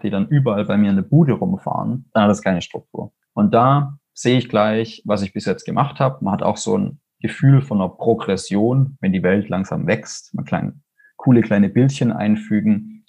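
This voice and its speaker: A man's voice: fairly low pitch, creaky, dark tone, breathy edge. A man with a Swabian accent, a fantasy author speaking casually and enthusiastically in an interview, rambling with fillers and restarts. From Swabia, Germany.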